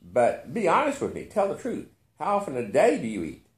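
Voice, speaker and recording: A man's voice: a little high, wildly swooping pitch, a little fast, reverberant, pitched above the performer's own voice. A man voicing an astonished, naively blunt questioner in a short story, pressing his questions insistently. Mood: incredulous, probing.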